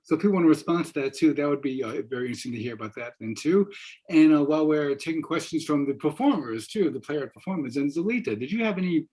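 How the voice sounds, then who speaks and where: A man's voice: a little high, animated, quick pace, mellow, slightly rough, poor audio. An older man moderating an informal panel on a video call, circling through his phrasing in a tentative, rambling way. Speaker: moderator, professor. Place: small room at home, indoors. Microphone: computer mic on a video call.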